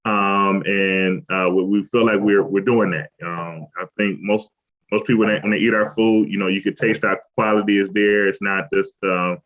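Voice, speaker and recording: A man who owns a restaurant, talking in a relaxed, rambling way with lots of fillers as an interview guest, from Cleveland. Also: sits low, moderate pace. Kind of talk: casual interview talk. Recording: lo-fi muffled audio.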